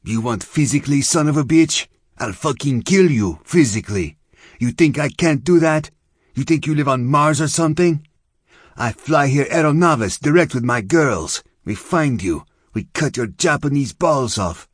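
A man's voice: fairly low pitch, lively intonation, measured pace, breathy edge, no echo, clean studio sound, voice pushed up. A man voicing a fierce, foul-mouthed young gang girl with an intense, theatrical delivery. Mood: furious threatening. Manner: intense, theatrical, non-native phrasing.

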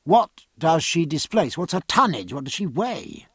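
A man's voice: a little high, sing-song, unhurried, fairly bright tone, breathy edge, no room sound, clean studio sound, heavily acted. A man voicing a jovial, teasing British naval captain with bluff, exclamatory, old-fashioned speech. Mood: brisk questioning.

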